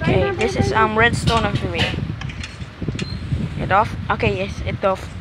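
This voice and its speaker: A young person giving casual, halting gameplay commentary with repetitive phrasing as the host of a gaming video. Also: big pitch swings. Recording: very noisy, windy rumbling microphone.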